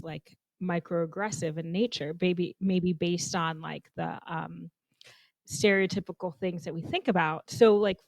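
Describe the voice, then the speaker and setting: A young woman from Zambia, co-hosting a podcast in animated, thoughtful casual conversation. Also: fairly low pitch, gravelly, dry recording, rough recording. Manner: animated, thoughtful, laughs often. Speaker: podcast co-host.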